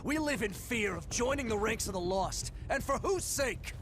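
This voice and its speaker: An actor playing a belligerent, bitter young thug in a dramatic video game cutscene, sneering and confrontational. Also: male voice, sits high, expressive, moderate pace, noisy background. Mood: bitter indignant.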